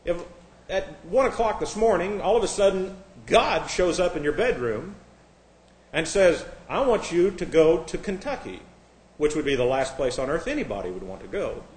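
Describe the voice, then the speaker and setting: A man's voice: a little high, big pitch swings, moderate pace, darkish tone. A man from Indiana preaching a sermon in a conversational way, full of asides and wry jokes, and reading scripture aloud in a raised, formal voice. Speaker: pastor. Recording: echoey room.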